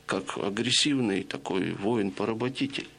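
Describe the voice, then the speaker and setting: A middle-aged man with a low, heavily gravelly voice that still carries a forceful, bright edge. He lectures in a measured, explanatory way, often pausing on hesitations. A faint hiss sits under the voice. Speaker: lecturer on radio. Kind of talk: reflective spoken lecture to listeners.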